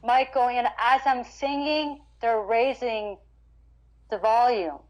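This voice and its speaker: A woman singer chats loosely in an interview. Her voice sits a little high. She repeats words and restarts sentences as she goes. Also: steady tone, slow pace, a little mellow. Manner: chatty, frequent restarts and stammers. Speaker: guest singer-guitarist. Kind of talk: casual interview chat.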